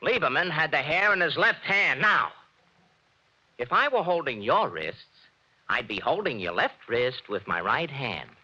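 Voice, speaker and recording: An actor playing a confident, wisecracking male private detective in a 1940s radio drama, talking fast and clipped. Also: mid-range voice, very animated, sharp tone, breathy edge, highs cut off, exaggerated voice.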